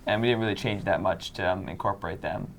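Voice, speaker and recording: A man's voice: deep voice, fast, creaky. A teenage male student actor talking casually in an interview. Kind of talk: casual chat.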